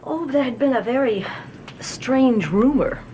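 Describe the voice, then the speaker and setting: An actress playing an anxious, eager wife and devoted daughter in a gothic soap, speaking emotionally and haltingly. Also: female voice, high-pitched, warm tone, a little hiss or hum. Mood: soothing. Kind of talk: 1960s-70s gothic TV soap acting. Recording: old TV soundtrack with music underneath.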